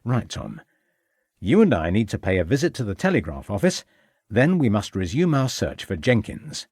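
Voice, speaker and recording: A man reading the part of a Victorian police inspector in a storybook, brisk, formal and commanding. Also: lowish voice, huge pitch swings, darkish tone, husky, exaggerated voice. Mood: businesslike.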